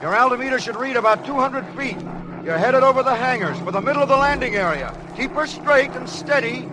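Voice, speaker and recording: A man playing a steady, authoritative airfield chief in a 1930s-style radio drama, giving terse, urgent commands. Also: very high-pitched, a little fast. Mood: steady, intent. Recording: faintly noisy vintage radio recording.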